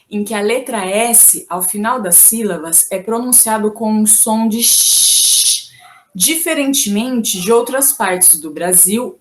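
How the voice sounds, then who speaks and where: Woman, from Brazil, language teacher, video lesson, patient, careful explaining, slow pace. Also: medium pitch, a little hiss or hum. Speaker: Portuguese teacher.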